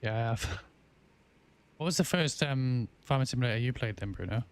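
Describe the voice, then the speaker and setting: A man live-streaming a farming game, chatting casually with viewers and answering their messages, often pausing with ums and restarting his sentences. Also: mid-range voice, clean tone. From England. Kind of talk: casual live-stream chat while playing.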